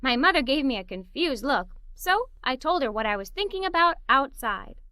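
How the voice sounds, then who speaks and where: A woman reading a children's story aloud in the first person as a cheerful, imaginative little girl, with an expressive, playful delivery. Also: high-pitched, moderate pace, dry recording.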